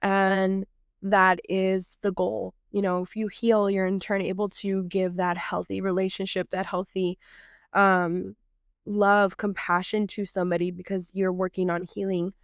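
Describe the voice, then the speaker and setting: A woman's voice: medium pitch, level tone, measured pace, smooth, no echo, studio quality. A woman from Texas hosting her own podcast, sounding nasal from a cold and talking in an earnest, reflective way with loose, improvised phrasing. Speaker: podcast host.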